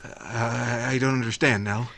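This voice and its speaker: A man acting a flustered, earnest suitor in a 1940s-style radio drama, stammering and halting. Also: medium pitch, steady tone, creaky, fairly bright tone. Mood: bewildered. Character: flustered, earnest suitor, Bert, anguished and tongue-tied.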